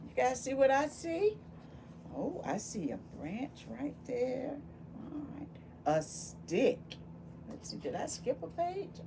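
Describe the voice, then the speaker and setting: A woman reading a picture book aloud to children in an expressive, exclaiming way, speaking directly to her young listeners with questions and asides. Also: high voice, takes it slowly, gravelly. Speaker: volunteer children's book reader. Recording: steady background noise.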